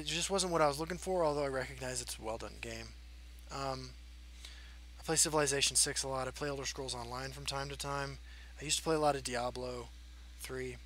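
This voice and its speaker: Man in his thirties, casual host, bright tone, faint hum behind. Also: a little high, poor audio. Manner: rambling, frank, many fillers. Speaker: channel host. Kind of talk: casual Q&A chat.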